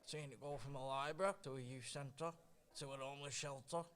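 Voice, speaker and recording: A man's voice: mid-range voice, fairly even intonation, fairly quick, fairly bright tone, lightly acted. A man playing a stubborn, long-serving community centre kitchen worker in a radio play, grumbling in colloquial speech. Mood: indignant, stubborn.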